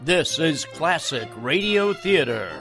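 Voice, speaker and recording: Slow, dramatic American radio announcer man over music. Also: fairly high pitch, lively intonation, creaky. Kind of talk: scripted station imaging announcement. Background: loud music bed.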